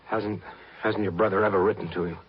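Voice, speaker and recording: A weary spaceship pilot from a 1950s radio drama, low and very rough-voiced. He speaks gravely and tersely, resigned to hard frontier rules. The old recording is muffled and dull. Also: fairly even intonation, quick pace. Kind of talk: 1950s science fiction radio drama acting.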